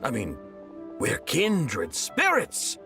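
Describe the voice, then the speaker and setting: A chatty older townsman in a video game, pleased with his own wisdom. His mid-pitched voice swings hugely up and down in a folksy, theatrical busybody manner, over faint music. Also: huge pitch swings, very slow, creaky, sharp tone, exaggerated voice. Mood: chatty, puzzled. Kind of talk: English video game voice acting.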